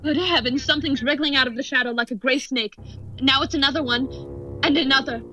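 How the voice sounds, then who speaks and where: High-pitched excited woman radio reporter, acted. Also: fairly quick. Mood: horrified, awed. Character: excited radio news reporter, increasingly horrified eyewitness. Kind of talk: 1930s radio drama acting, live eyewitness commentary. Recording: dull lo-fi audio under music.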